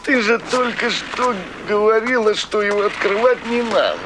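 An actor playing a boastful, quarrelsome husband in absurdist theatre, excitable, taunting and repetitive in manner. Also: male voice, sits high, normal speed, background noise, close to an everyday voice. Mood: wary, grudging. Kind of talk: theatrical absurdist stage acting.

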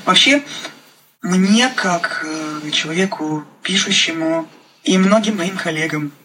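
Halting woman with faint noise behind. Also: lowish voice, pushed and bright, poor audio. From Ukraine. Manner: halting, searching for words. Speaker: guest playwright. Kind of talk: reflective interview talk.

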